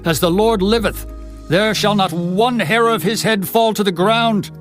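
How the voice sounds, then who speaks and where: Israelite commoner, played by a man: high male pitch, earnest, emphatic, scripture drama over clear music. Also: measured pace. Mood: pleading protest. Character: earnest spokesman for the Israelite people.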